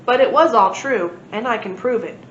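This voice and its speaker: A woman's voice: a little high, moderate pace, fairly bright tone, natural voice. Woman reading as insistent storybook dad, echoey room. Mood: unruffled. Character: tall-tale-telling father, unflappable and insistent. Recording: echoey room, muffled, noisy.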